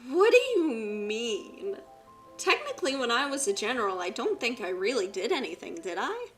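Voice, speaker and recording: A woman's voice: on the high side, sing-song, moderate pace, faint music behind. A woman streamer giving casual live commentary while playing a video game. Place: streaming over game music.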